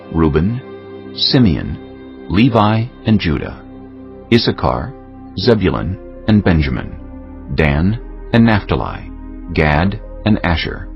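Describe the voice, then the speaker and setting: Very deep, expressive male narrator. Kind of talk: scripted dramatic scripture narration. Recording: muffled, clear music bed behind. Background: musical score.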